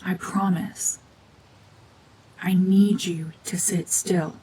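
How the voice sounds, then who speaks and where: A woman acting a cold, domineering mutant witch in an ASMR roleplay drama, hushed and often whispering, with terse, guarded commands. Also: on the low side, steady tone, slow, slight background noise, low-quality recording. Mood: cold, controlled. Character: renegade mutant cyborg gorgon witch, female, cold, domineering, slowly softening.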